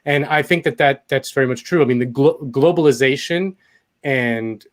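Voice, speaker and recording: A man speaking as a guest on a video call interview, his voice at an ordinary middle pitch. He works through his answer out loud, restarting phrases and leaning on fillers. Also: normal speed. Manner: thinks aloud, rephrasing with fillers. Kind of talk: casual interview conversation.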